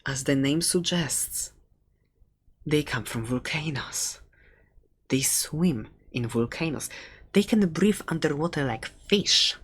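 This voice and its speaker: A woman's voice: low voice, expressive, slow, breathy edge, heavily acted. A woman playing a chatty, quirky dragon egg seller in an ASMR roleplay, talking in a hesitant, playful, conversational way close to the microphone. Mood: mock-serious. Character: chatty, quirky seller of dragon eggs, fond of dangerous pets. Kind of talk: ASMR roleplay, casual rambling talk.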